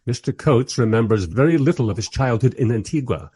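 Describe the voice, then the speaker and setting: Male actor as weary filmmaker narrator, radio drama. Husky, wry, animated intonation, very dry recording. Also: mid-range voice, fairly quick, dry recording. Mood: wry recounting. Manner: dry, sardonic storytelling. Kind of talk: radio play first-person narration.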